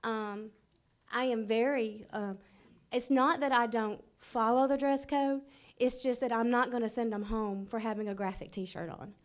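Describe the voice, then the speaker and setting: A woman's voice: a little high, fairly even intonation, unhurried. A woman school principal, speaking candidly at a board meeting. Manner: candid, conversational, with fillers.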